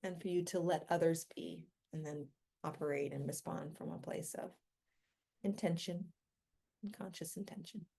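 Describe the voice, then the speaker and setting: A woman guides a meditation in a low-pitched voice with a dark, relaxed tone. She speaks gently and without hurry, leaving long pauses between short phrases. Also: vocal fry, breathy edge.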